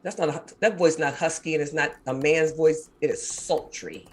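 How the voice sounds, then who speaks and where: Woman guest, 50s, from Maryland: deep husky voice, quick animated storytelling, casual interview tone. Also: slight background noise. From Maryland, USA. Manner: fast-talking, animated storyteller.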